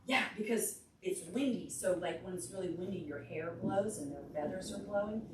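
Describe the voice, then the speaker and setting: A woman children's librarian reading a picture book aloud expressively to preschoolers, pausing to explain simply and ask them questions. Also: mid-range voice, darkish tone, distant mic, slight background noise. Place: large carpeted indoor library meeting room. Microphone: distant camera microphone. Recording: roomy, picked up from across the room.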